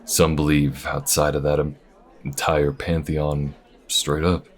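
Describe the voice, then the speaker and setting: A man voicing an easygoing, wry alien bounty hunter with folksy, unhurried phrasing in an audio roleplay. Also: extremely low pitch, flat delivery. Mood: musing. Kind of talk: audio roleplay addressed to the listener. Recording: restaurant chatter behind. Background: restaurant crowd ambience.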